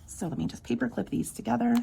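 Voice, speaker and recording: A woman with a sore, scratchy throat, quietly counting bills aloud in a casual budgeting vlog. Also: a little high, quick pace, gravelly. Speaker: budgeting vlogger. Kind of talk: casual cash-stuffing walkthrough.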